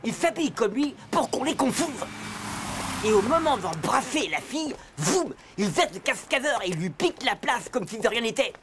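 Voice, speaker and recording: A woman playing an excitable, easily aggrieved know-it-all schoolboy in a French children's cartoon, talking in emphatic slang. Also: on the high side, normal speed, noisy background. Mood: knowing, scornful. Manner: slangy, emphatic explaining and protesting. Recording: music behind the dialogue.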